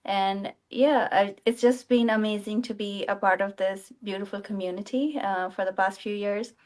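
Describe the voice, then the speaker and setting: A woman from India with a mid-pitched voice, hosting a panel over a video call. She speaks in a friendly, casual tone and pauses on fillers. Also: steady tone, a little mellow. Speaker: panel moderator. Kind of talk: casual webinar panel talk.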